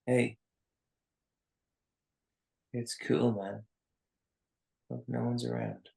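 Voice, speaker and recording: Man acting shaken crisis leader in a drama reading: slow, halting delivery, gravelly fry. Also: medium pitch, level tone, a little mellow, full character voice. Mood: subdued. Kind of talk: live screenplay table read acting.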